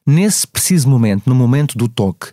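A man with a European Portuguese accent explains football decisions on a podcast. He talks fast in a medium-pitched voice, teaching point by point in a conversational way. The sound is dry, with no room around it. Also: animated, warm tone, dry recording. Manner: didactic, poses and answers own questions. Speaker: refereeing analyst on a podcast.

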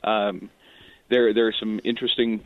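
A man being interviewed on the radio as a guest, talking conversationally and a bit haltingly over a thin line. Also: on the low side, level tone, dry recording. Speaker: cinema chain spokesman, interview guest. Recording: tinny narrowband phone-like line.

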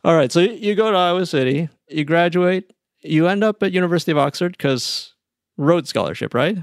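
A male host with a gravelly edge asks questions with lively energy, often restarting his sentences. The sound is close and dry. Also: fairly high pitch, moderate pace, dry recording. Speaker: podcast host. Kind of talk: interviewing.

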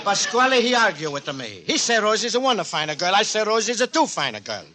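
An actor playing a naive, cheerful Italian immigrant antique dealer in broken English with an Italian accent, earnest and expressive, in 1940s radio comedy style. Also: male voice, sits high, fairly quick, heavy background noise. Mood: fond, chatty. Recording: 1940s radio recording, live studio audience.

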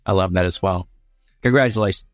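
Deep-voiced man hosting an interview. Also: a little fast, no room sound, studio quality, faint music behind. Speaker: podcast interviewer.

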